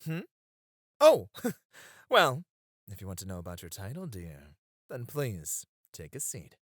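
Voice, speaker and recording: Man acting a flirty, theatrical bunny-club host, very animated. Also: lowish voice, a little slow, no echo, studio quality, exaggerated voice. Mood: flustered. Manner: theatrical, coy, effusive. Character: teasing, dramatic, flirty bunny-club host Roy, male. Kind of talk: flirty ASMR roleplay acting.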